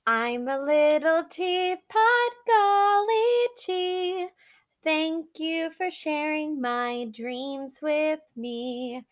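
Young woman, children's read-aloud, high voice, very unhurried pace, expressive, half-sung delivery. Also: faint music behind. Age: young adult. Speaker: teacher reading aloud to children. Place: small living room, seated in an armchair indoors.

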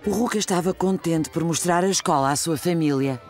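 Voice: medium pitch, brisk, a little mellow, faint music behind, natural voice. A narrator with a European Portuguese accent reading scripted story narration for a children's cartoon.

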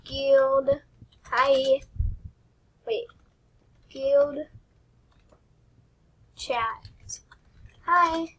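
A young woman with a high, squeaky voice talks over her gameplay at a desktop mic. She rambles, giggles and mocks herself as she goes, often trailing off into quiet asides. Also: very slow, pauses often. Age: teens. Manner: rambling, giggly, self-deprecating. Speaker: gaming channel host. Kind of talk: casual gameplay commentary.

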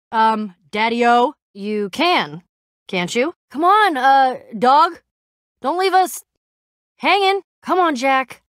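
A woman voicing a brash, excitable young female adventurer in a video game, slangy and a bit awkward as she tries to sound cool. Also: high-pitched, no room sound, studio quality. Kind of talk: animated game dialogue acting.

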